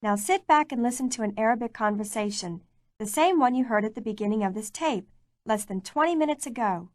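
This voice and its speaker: Clear, measured woman narrating a language course. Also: a little high, lively intonation, no room sound, clean studio sound. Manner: clear, measured, prompting the learner. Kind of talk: scripted instructional narration.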